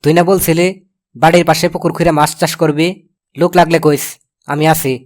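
A man's voice: on the high side, level tone, brisk, close to an everyday voice. A man voicing a brusque, dry village uncle in a comedy cartoon, with a colloquial Bangladeshi accent. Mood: wary. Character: gruff, grudging village uncle looking after nephew.

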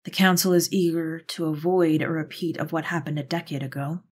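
A woman acts out a persistent witch for an audio drama, with a lowish, raspy voice. She is earnest and persuasive, making her case in measured phrases. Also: fairly even intonation. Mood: grave, desperate. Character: earnest, persistent female witch, pleading go-between. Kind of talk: audio roleplay drama acting.

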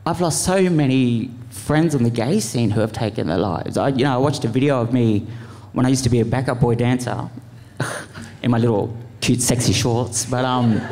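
A man speaking informally as a panelist, earnest and impassioned, in a halting, rambling way. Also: medium pitch, warm tone. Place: large event venue, live panel. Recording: echoey hall with long reverb.

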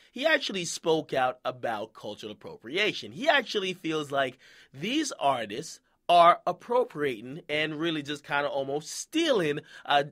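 A man's voice: fairly high pitch, fairly bright tone. A man from Jamaica hosting casual commentary, who keeps checking in with "okay?" and "right?" and acts out quoted voices. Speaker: commentary host.

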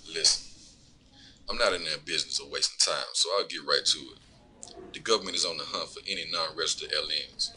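An actor playing a stern, bullying sheriff's deputy in an audio drama, commanding and procedural. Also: male voice, very deep voice, brisk, bright, forceful tone, full character voice. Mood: official, businesslike. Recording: noisy vehicle ambience.

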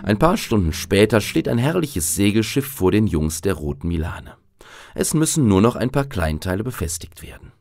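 A man's voice: fairly low pitch, very animated, normal speed, darkish tone, no echo, clean studio sound, faint music behind. A man narrating a scripted children's radio play as its host.

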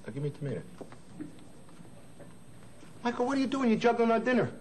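A playful cook, bantering and teasing in a lively, bouncing voice. He tosses out tongue-twisters in a comedy kitchen sketch. A faint hiss sits under it. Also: on the high side, fairly quick.

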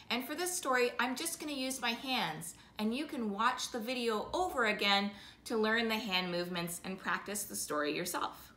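Children's storytime host, female, high bright voice, rhythmic and sing-song delivery, recorded in a living room. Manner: slow, sing-song, repetitive rhythmic phrasing with drawn-out words. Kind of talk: animated oral storytelling for young children. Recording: roomy indoor echo.

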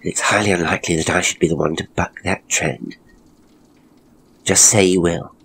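A man voicing a frail, earnest young woman in a ghost story, hushed and halting. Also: deep voice, fairly even intonation, slight background noise. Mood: gently pleading.

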